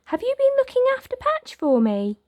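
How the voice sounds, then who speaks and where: A woman's voice: extremely high pitch, huge pitch swings, normal speed, a little mellow, no room sound, clean studio sound, voice pushed up, heavily acted. A woman voicing a friendly, eager little girl in a children's storybook.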